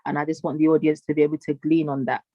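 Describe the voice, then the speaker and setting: A woman's voice: low-pitched, level tone, fast talker, mellow, no echo, muffled recording. A woman hosting a live radio interview, effusive and playful, heaping praise on her guest. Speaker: radio show host.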